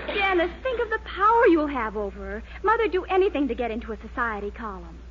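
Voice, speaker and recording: Very high-pitched, eager American girlfriend. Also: very animated, quick pace, a faint crowd. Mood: excited, encouraging. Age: young adult. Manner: gushing, wide-eyed. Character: eager, adoring, encouraging young girlfriend. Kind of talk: 1940s radio comedy acting. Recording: muffled, faintly noisy 1940s radio recording.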